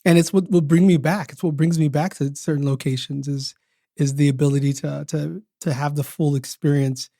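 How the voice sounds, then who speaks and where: Podcast host guy, kinda higher voice but mellow, dry close mic, thinking out loud. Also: steady tone, moderate pace, gravelly, no room sound. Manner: reflective, with repeated words. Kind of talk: casual podcast interview.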